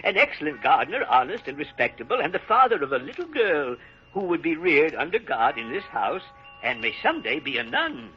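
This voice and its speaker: An actor playing an excitable, deferential old French convent gardener in a vintage radio drama. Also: male voice, a little high, husky, faint music behind, heavily acted. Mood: humble, hesitant. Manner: excitable, animated, halting and chuckling. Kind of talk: 1930s-40s radio drama acting. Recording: muffled vintage radio broadcast recording.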